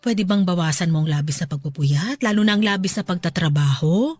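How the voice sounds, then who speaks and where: An actress with a Filipino accent playing a loving, reassuring middle-aged farmer's wife in a radio drama, speaking in a soothing, affectionate way. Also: female voice, fairly low pitch, expressive, brisk, a little mellow, no room sound, soft background music. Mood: tender concern. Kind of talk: Tagalog radio drama acting.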